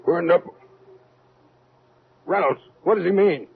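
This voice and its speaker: A man's voice: high voice, slow, creaky, breathy edge, full character voice. An actor playing an agitated military man in an old-time radio drama, with an urgent, demanding, emotional delivery. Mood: panicked. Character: agitated, accusing military man, younger than the scientist. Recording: tinny, band-limited old radio recording.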